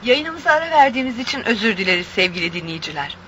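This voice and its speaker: Radio play role: formal news announcer, high and forceful, crisp scripted bulletin delivery. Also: female voice, sing-song, very fast, soft background music. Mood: formal, urgent.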